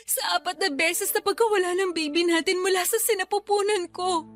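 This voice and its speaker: A woman's voice: very high voice, fairly even intonation, brisk, a bit bright. A woman acting a grieving, self-blaming wife in a radio drama, pleading and tearful. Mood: anguished. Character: Alma, grieving, self-blaming wife who lost her babies. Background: music.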